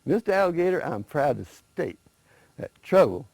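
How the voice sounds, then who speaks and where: A man's voice: a little high, big pitch swings, moderate pace, vocal fry, darkish tone, no echo, pitched above the performer's own voice, full character voice. A man voicing a sly male rabbit trickster, talking in a folksy, persuasive way.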